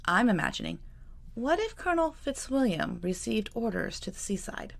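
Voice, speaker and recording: A woman author reading her own novel aloud in a calm, measured storytelling manner. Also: fairly high pitch, vocal fry.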